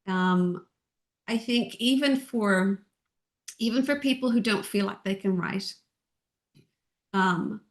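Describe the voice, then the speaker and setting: A middle-aged woman from Ireland with a softened Irish accent, chatting as an interview guest in a gentle, reflective way. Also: medium pitch, slow pace, pauses often. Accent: Irish, softened by years in Texas. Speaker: guest, author and audiobook narrator. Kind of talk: casual interview chat.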